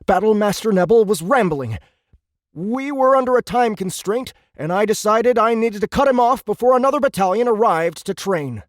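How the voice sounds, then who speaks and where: A very high-voiced young alien commander, haughty yet insecure. He speaks with indignant, officious insistence in a science fiction audiobook, in a dry, close recording. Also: lively intonation, moderate pace, no echo. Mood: flustered, defensive. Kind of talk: audiobook character acting.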